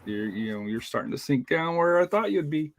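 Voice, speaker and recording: Raspy-voiced man hosting sports talk. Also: on the high side, sing-song, a little fast, noisy background. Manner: rambling with many uh fillers. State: slightly raspy voice. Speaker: podcast host.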